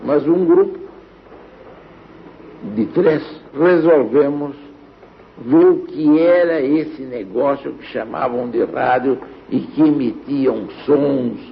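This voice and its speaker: Slow, gravelly older Brazilian man. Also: medium pitch. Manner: halting, deliberate, pausing mid-phrase. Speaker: interviewee, radio pioneer. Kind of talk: spoken reminiscence. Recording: dull archival interview with background noise.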